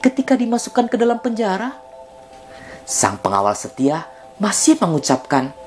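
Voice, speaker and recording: A man telling a children's story in a deliberate, dramatic manner, ending with a moral lesson. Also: high voice, soft background music. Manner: expressive, deliberate, with dramatic emphasis.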